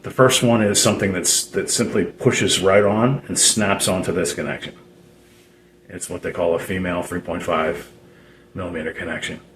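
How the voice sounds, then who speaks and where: Deep voiced guy rambling thru a hobby how-to, kinda halting, roomy sound w some noise. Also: fairly even intonation, fairly bright tone. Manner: halting, rambling explanation with repeated phrases. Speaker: DIY radio hobbyist presenter. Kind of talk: casual unscripted how-to demonstration. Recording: roomy echo, noticeable background noise.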